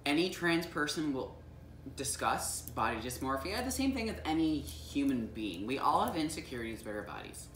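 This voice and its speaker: A woman's voice: a bass voice, very animated, moderate pace, a little hiss or hum. A young transgender woman explaining her experience in a casual chat, candid and full of ums. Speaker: guest. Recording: echoey room, mic at a distance, faint ambience.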